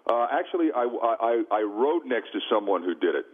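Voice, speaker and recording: A man's voice: medium pitch, sing-song, fairly quick. A man talking sports as a radio guest, casual and emphatic, often repeating himself, through a muffled narrow-band line. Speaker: sports broadcaster, studio guest. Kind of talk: casual sports talk banter.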